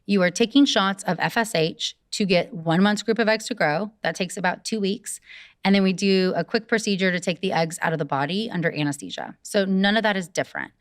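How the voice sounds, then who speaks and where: A woman fertility doctor explaining clearly in an interview, walking through the numbers step by step. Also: mid-range voice, no room sound, clean studio sound.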